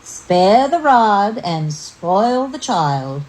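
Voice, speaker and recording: A woman voicing a stern, self-righteous male country schoolteacher in a storybook tale, moralizing slowly. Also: medium pitch, very animated, darkish tone, pitched above the performer's own voice, rough recording. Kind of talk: storybook character voice.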